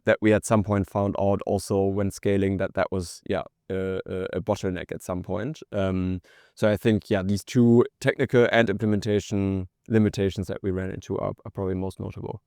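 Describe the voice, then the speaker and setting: Low, clear-voiced man in a dry recording. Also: darkish tone, dry recording. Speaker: startup co-founder, podcast guest. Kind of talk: conversational interview answer.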